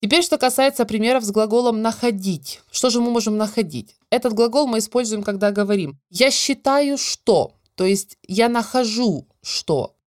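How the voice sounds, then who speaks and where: A woman teaching a French grammar lesson, explaining clearly and stretching out the example words. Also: a little high, moderate pace, hardly any pauses, no echo, clean studio sound. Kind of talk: scripted grammar lesson narration.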